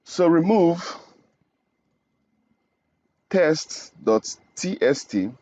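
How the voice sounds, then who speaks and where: A man's voice: a little high, animated, extremely slow, a little mellow, no room sound, lo-fi sound. Man talking through a coding lesson. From Nigeria. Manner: explains step by step, often adds "okay?". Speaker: programming instructor. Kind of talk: screen-recorded coding tutorial.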